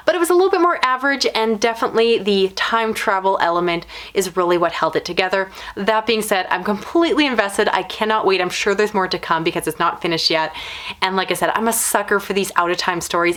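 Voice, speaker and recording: A woman with a medium-pitched voice talks casually to her audience as a book reviewer. She is conversational and candid, softening her opinions with loose, informal phrasing. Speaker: book reviewer and channel host.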